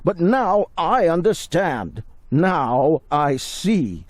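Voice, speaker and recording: Pompous, devout oath-maker; highish voice, very slow and deliberate, dry studio sound. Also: male voice, sing-song, no echo, full character voice. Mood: solemn. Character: solemn, grandiose, devout man humbly accepting trials. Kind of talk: dramatic game cutscene speech.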